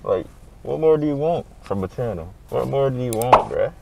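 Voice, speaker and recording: A man's voice: medium pitch, animated, moderate pace, creaky, heavy background noise. A young man vlogging from his car, hyped and slangy as he talks straight to the camera about his pranks. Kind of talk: casual vlogging while driving. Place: inside a car.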